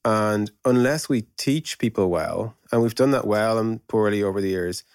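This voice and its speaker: A man's voice: lowish voice, moderate pace, clear, resonant tone, no echo, studio quality. A man explaining his thinking in a casual podcast conversation, informal and thinking aloud with frequent asides. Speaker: podcast guest discussing product principles and process.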